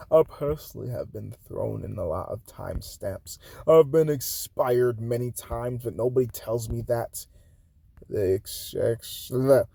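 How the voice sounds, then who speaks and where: A man from Haiti reading his own spoken-word poems aloud, swinging between loud declarations and hushed, breathy asides. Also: medium pitch, no room sound.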